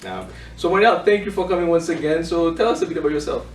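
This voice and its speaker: A man hosting an informal interview, speaking in a halting, unscripted way and repeating himself. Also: fairly high pitch, expressive. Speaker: interviewer, event host. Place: indoor room with small audience. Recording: echoey room, distant mic, faint noise.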